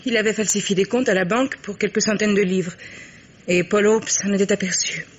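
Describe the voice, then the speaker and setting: An actress playing a frightened, defensive young woman accomplice under questioning, halting and insistent, in old French radio mystery style. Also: mid-range voice, steady tone, close to an everyday voice. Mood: anxious confession. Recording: muffled, hissy old radio drama recording.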